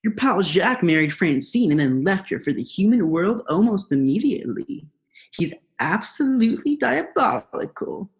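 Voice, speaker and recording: A woman's voice: medium pitch, sing-song, moderate pace. A woman playing a snarky, theatrical eel schemer in a radio play, with a sardonic, dramatic manner. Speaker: actor.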